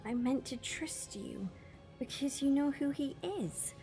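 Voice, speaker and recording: A woman's voice: sits high, animated, measured pace, mellow, soft background music. A young woman playing a feisty, wary captive in an audio drama, questioning and sceptical.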